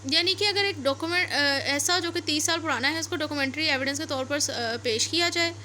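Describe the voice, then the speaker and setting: High, bright-voiced Pakistani woman lecturing. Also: quick pace, a little hiss or hum. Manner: repetitive, code-switching between English and Urdu. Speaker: law lecturer. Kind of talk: explanatory lecture, reading statutes aloud then explaining.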